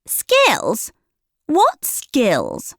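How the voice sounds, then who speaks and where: A woman's voice: high-pitched, huge pitch swings, very slow, dry recording, studio quality, pitched above the performer's own voice, heavily acted. A woman voicing a mocking, smirking sister witch in a storybook, with a theatrical manner.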